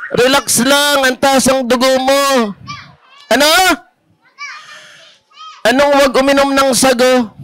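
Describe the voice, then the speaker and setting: A man voicing a mischievous, excitable young grandson puppet with a Filipino accent and a whiny, exclaiming manner in a children's show. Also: very high-pitched, a little hiss or hum, full character voice, rough recording. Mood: indignant, teasing. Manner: whiny, exclaiming, repeats questions.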